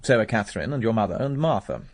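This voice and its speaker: Quick, husky, urbane Englishman. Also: lowish voice, sing-song. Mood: cool, wry. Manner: urbane, dry, measured logic. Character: cool, cynical male war veteran, calmly calculating. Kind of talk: radio drama dialogue.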